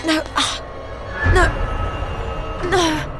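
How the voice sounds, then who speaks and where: A woman's voice: very high-pitched, flat delivery, drawn-out words, loud music behind the voice, heavily acted. An actress playing a wry, self-assured and daring young British woman in a video game, thinking aloud. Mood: wry scheming. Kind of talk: video game cutscene acting. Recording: clear background noise.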